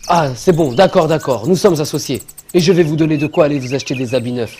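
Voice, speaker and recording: A man's voice: a little high, quick pace, darkish tone, slight background noise, lightly acted. Man acting a greedy, cowardly cartoon schemer. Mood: placating. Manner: placating and pleading aloud, conspiratorial when plotting alone. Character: greedy cowardly scheming male treasure finder. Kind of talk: French-dubbed cartoon acting. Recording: music under the voice.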